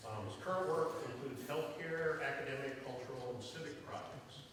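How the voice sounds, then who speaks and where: Male host, halting intro full of ums; far from the mic in a reverberant, noisy hall. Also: mid-range voice. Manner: hesitant, many fillers. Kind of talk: introduction at a lecture. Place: lecture hall. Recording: echoey hall recorded from afar, noisy.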